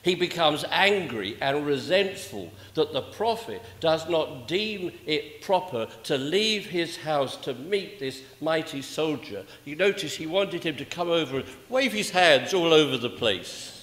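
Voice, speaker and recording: An older man preaching a sermon in a measured, rhetorical manner, with emphatic pauses and repeated phrases. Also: a little high, expressive, a bit bright. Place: indoors, small church at a pulpit. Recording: echoey church with long reverb.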